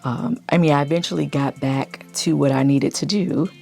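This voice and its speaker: A woman vlogger chatting casually in a deep morning voice, keeping it low and quiet. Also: moderate pace, creaky, soft background music. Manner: speaking low to stay quiet. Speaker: lifestyle YouTube vlogger. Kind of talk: casual chit-chat vlog.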